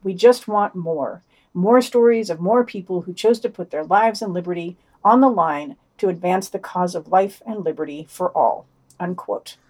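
A woman's voice: fairly low pitch, normal speed, darkish tone, slightly rough. A woman hosting a podcast, reading a scripted news digest with wry, emphatic, opinionated commentary. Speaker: podcast host.

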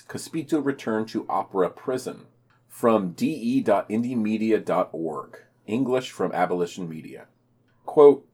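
A man's voice: fairly low pitch, darkish tone. A man co-hosting a podcast, reading scripted news summaries and quoted passages with brief commentary.

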